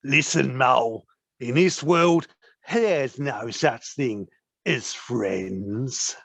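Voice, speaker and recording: Slow, sly boss weasel villain, acted out. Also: medium pitch, vocal fry, a bit bright, slightly rough, no room sound, exaggerated voice. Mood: sly menace. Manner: menacing, sneering, drawling with sudden snaps. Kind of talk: children's audio play acting. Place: living room at home, recorded over video call.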